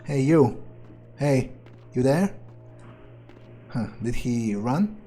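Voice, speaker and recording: A man's voice: medium pitch, animated, very slow, mellow, faint music behind. A man doing in-character gameplay commentary, thinking aloud in a nonchalant, rambling way with casual swearing. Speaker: let's player.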